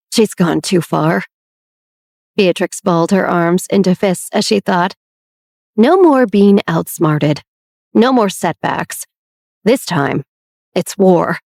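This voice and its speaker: A woman's voice: medium pitch, a little mellow, dry recording, studio quality, close to an everyday voice. Woman as a cold, resolute older sister. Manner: firm, clipped and resolute.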